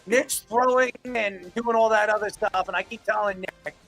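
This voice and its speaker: Male sports panelist. High, bright, forceful voice, emphatic and repetitive in casual debate. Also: normal speed, soft background music. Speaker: sports talk show panelist.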